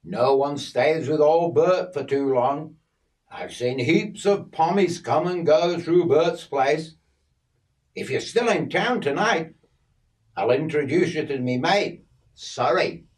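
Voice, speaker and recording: A man's voice: fairly high pitch, takes it slowly, distant mic, pitched above the performer's own voice. A man voicing a friendly, chatty young country lad with an Australian accent. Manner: chatty, easygoing.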